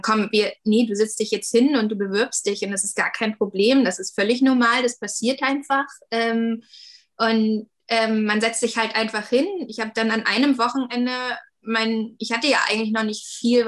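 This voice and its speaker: A woman's voice: fairly high pitch, a bit bright. A woman in her thirties, a 3D artist talking casually in an interview, with lots of fillers and hedges. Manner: chatty, many fillers and hedges.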